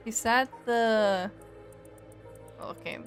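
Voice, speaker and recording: Chatty streamer woman, mixed scottish and canadian accent, game music loud behind. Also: on the high side, very slow. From Scotland. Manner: chatty, reads game text aloud, laughs easily. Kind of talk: casual game stream commentary.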